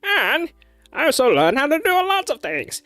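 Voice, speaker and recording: A man's voice: very high voice, wildly swooping pitch, normal speed, sharp tone, no room sound, soft background music, pitched above the performer's own voice, heavily acted. A man in his thirties putting on a lighter, squeezed-out old-timey radio announcer voice while explaining his voice work. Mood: showing off. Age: 30s. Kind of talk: demonstrating voices on a livestream.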